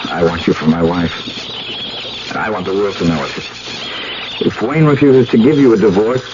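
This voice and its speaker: Muffled vintage radio sound with heavy noise carries a low male voice. An actor plays an intense research scientist, earnest and deliberate, lecturing like a man devoted to his work and his lover, in 1970s radio drama style. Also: close to an everyday voice. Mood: ardent. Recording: muffled, very noisy old radio broadcast.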